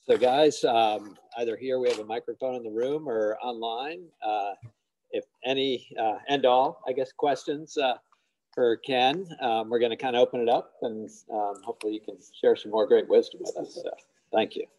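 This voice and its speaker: A man's voice: medium pitch, moderate pace, a little mellow, poor audio. Hesitant man opening up questions. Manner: hesitant, filler-heavy. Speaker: group leader. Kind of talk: casual moderating.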